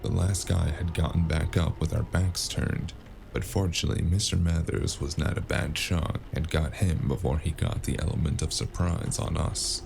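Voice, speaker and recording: A man playing a weary, wry noir detective in an audio drama, speaking tersely with a gravelly voice. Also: very deep voice, flat delivery, moderate pace, noisy background, heavily acted. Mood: tense, grim. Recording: music under the voice.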